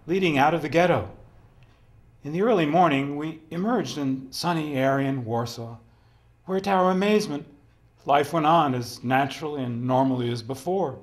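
A man's voice: mid-range voice, moderate pace, lightly acted. A man in his fifties giving a dramatic reading as a somber, haunted resistance survivor.

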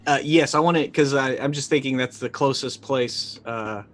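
A man in his forties playing a tabletop roleplaying game, casually narrating his character's actions and thinking aloud haltingly. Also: medium pitch, fairly bright tone, soft background music. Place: indoor room, online video call.

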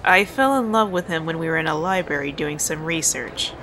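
A woman's voice: deep voice, animated, fairly quick, fairly bright tone, slight background noise. A woman playing a flustered, easily irritated young assistant in an audio drama. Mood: flustered, polite. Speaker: voice actor.

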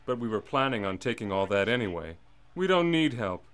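An actor playing a menacing robber's sidekick in a radio drama, with a dry, sardonic, threatening manner. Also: male voice, lowish voice, sing-song, a little fast, fairly bright tone, smooth.

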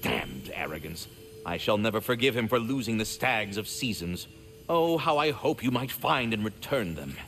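A man's voice: lowish voice, very animated, normal speed, over music. A man voicing a polite magical squirrel in a video game, with formal, florid, theatrical diction. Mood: indignant, hopeful.

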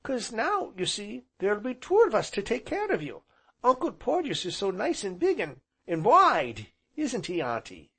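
A man's voice: high voice, huge pitch swings, a little slow, gravelly, a bit bright, breathy edge, voice pushed up, heavily acted. A man voicing an eager, affectionate small boy in a storybook, with breathless, gushing, childlike speech. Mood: excited, happy. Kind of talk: audiobook character voice.

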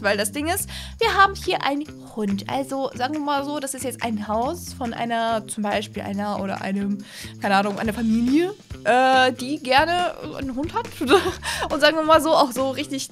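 A woman's voice: high-pitched, a bit bright. A young woman hosting a casual gameplay video, chatty and enthusiastic, talking straight to viewers into a close desk mic. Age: young adult. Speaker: host of gaming videos. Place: small indoor streaming room at home. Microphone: close desk microphone on arm. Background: music.

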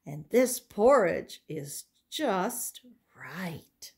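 A woman voicing Goldilocks, a small girl in a storybook, speaking slowly and at times in a whisper. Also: fairly high pitch, huge pitch swings, darkish tone, voice pushed up, exaggerated voice. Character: sweet little girl intruder Goldilocks.

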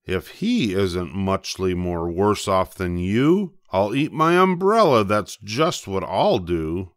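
An older man reading a rhyming children's storybook aloud, expressively, with playful emphasis and drawn-out words. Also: deep voice, big pitch swings, no room sound, studio quality. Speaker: storybook reader.

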